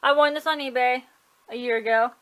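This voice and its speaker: A woman's voice: high-pitched, steady tone, a little fast, fairly bright tone, clear, resonant tone. A woman casually showing off her collection at home, chatty and offhand, often correcting herself and shrugging things off. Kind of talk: casual home video tour. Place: room at home.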